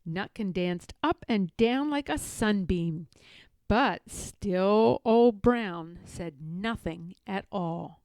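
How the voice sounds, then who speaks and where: Slow, very animated woman storyteller. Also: medium pitch, no echo. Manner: expressive storytelling. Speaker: storybook reader. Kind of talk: scripted children's story narration.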